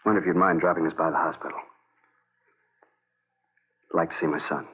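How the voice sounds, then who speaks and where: Man acting low-voiced army captain, thirties, shaken yet tender husband, narrow vintage radio sound. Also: steady tone, a little fast, a little hiss or hum, close to an everyday voice. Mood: tender, subdued. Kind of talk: 1950s radio drama acting. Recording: muffled narrow-band old radio recording.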